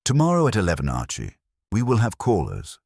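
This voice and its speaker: A man voicing an imperious detective boss in an audiobook, with formal, measured, pompous diction. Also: lowish voice, wildly swooping pitch, vocal fry, a little mellow, slightly rough, dry recording, clean studio sound, pitched above the performer's own voice, exaggerated voice. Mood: stern, resolute.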